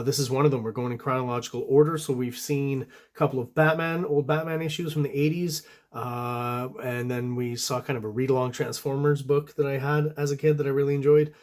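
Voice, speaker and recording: A man from Quebec whose first language is French, talking casually as he reviews comics. He rambles a little, piling on fillers and side remarks, in an easy mid-range voice. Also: medium pitch. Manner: rambling, many fillers and asides. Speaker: host and comic artist.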